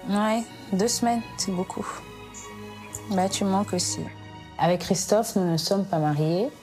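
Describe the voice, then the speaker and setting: A young woman from Ivory Coast, an expectant mother, talking calmly with small hesitations. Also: medium pitch, a little slow, over music. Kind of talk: casual chat and interview.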